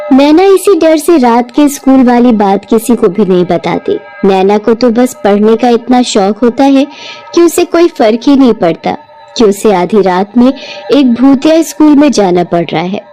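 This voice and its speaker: A woman narrating an animated bedtime story in a gentle, even read-aloud manner. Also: a little high, poor audio.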